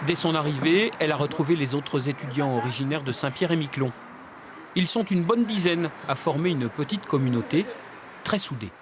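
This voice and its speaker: A man reading scripted narration for a television magazine report, in a measured, even storytelling manner. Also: a little high, crowd noise. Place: voice-over booth. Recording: voice-over over clear music. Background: music bed.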